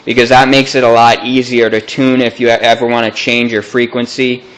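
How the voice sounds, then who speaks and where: A man's voice: mid-range voice, little pitch movement, fairly quick, a bit bright, poor audio. A man giving an unscripted hands-on electronics demonstration, explaining things in a casual, matter-of-fact way.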